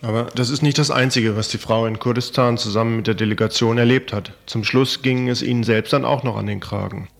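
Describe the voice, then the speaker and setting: A man's voice: mid-range voice, fairly even intonation, fairly quick, creaky, few pauses. Man, radio reporter, mid-range voice, scripted report narration.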